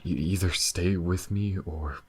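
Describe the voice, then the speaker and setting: Guy doing a soft-spoken alien boyfriend for asmr, super deep voice, slow and hesitant, tired but devoted. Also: fairly even intonation, slight background noise. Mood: tender, earnest. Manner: soft, halting, hesitant, sighing delivery. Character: gentle, battle-weary alien man, earnest devoted lover. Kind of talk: intimate ASMR audio roleplay.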